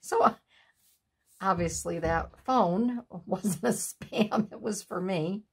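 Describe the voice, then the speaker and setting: A woman in her sixties chatting at home while she makes a collage at her craft table, with a breathy, sniffly voice, laughing asides and remarks to herself. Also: mid-range voice, takes it slowly. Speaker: craft channel host. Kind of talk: casual chat while making a collage. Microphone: overhead camera on the desk, hands only in frame.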